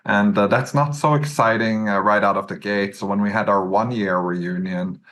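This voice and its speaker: A Norwegian man in his thirties with a Norwegian accent, a podcast guest telling his career story in a measured way, with many "uh"s and "um"s. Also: fairly low pitch, steady tone, a bit bright. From Norway. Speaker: podcast guest, search fund entrepreneur. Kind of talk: relaxed interview answers.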